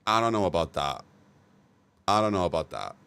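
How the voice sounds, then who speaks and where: A man's voice: deep voice, sing-song, a little fast, vocal fry, fairly bright tone, clean tone. A man streaming live, reacting bluntly to game news and giving opinionated takes. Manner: swears casually. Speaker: livestreamer. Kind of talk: casual live-stream commentary.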